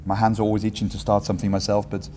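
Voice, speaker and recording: A man in his forties, a teacher being interviewed, answering in a relaxed, rambling way with light humor and frequent self-corrections. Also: fairly low pitch, rapid-fire, long reverb tail, slight background noise. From England. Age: 40s. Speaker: guest, entrepreneurship teacher.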